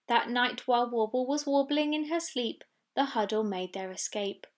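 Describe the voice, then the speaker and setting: A woman's voice: on the high side, moderate pace. A woman reading a children's storybook expressively, with dramatic delivery of sound words. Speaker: story reader.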